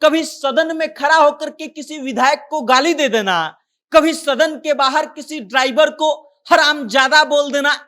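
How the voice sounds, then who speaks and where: High-pitched news commentator. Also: fairly bright tone. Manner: conversational retelling, acting out quoted dialogue. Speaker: news presenter. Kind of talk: informal news commentary recounting a viral story.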